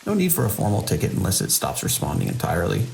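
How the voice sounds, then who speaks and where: A man playing a seasoned, wry security chief in an audio drama, with a measured, world-weary, procedural delivery and a gravelly voice. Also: low voice, wildly swooping pitch, a little mellow, exaggerated voice. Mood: businesslike. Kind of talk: audio drama dialogue and log monologue. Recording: faint hiss and rain-like noise.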